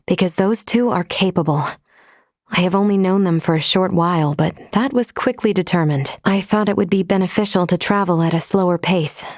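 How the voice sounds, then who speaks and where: A woman voicing a courteous, analytical female android companion in a video game, speaking in a formal, measured, precisely worded way. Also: lowish voice, darkish tone, no room sound, clean studio sound, natural voice. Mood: calm, polite.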